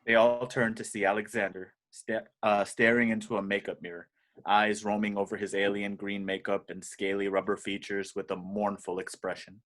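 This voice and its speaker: Slightly low-voiced man narrating a script. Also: level tone, normal speed, fairly bright tone. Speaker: reader of stage directions. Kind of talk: scripted narration in an amateur table read.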